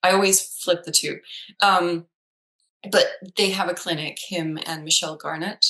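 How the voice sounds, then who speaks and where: Woman, danish native speaker, chatty, lots of ums and restarts, bright voice. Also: lowish voice, steady tone, moderate pace, poor audio. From Denmark. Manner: chatty, with many fillers and restarts. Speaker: psychologist. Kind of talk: casual chat.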